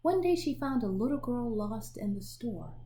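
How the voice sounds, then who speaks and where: A woman reading a children's picture book aloud in an expressive storytelling manner, pausing to show the pictures. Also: on the high side, slight background noise. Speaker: storybook reader.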